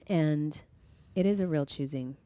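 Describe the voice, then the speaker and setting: Earnest woman guest, low and dry. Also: unhurried, clear, resonant tone, no room sound. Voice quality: loud voice. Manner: earnest, reflective, frequent ums and soft laughs. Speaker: podcast guest, co-founder. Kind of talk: casual interview conversation.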